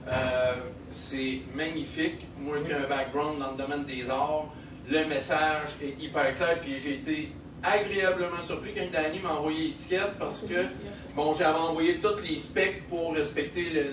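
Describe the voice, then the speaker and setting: A man from Quebec with a Québécois accent, a microbrewery owner giving an animated speech at a local launch event, with many fillers. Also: on the high side, unhurried. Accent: Québécois French. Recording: echoey room, heard from a distance, noisy.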